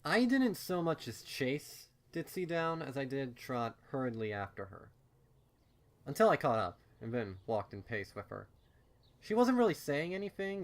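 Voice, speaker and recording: A man giving an expressive first-person reading of a fan fiction story as narrator. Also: medium pitch. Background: music under the voice.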